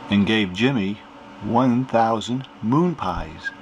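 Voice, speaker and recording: A man narrating a children's picture book aloud in an animated storytelling manner. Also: fairly low pitch, slow, slight background noise.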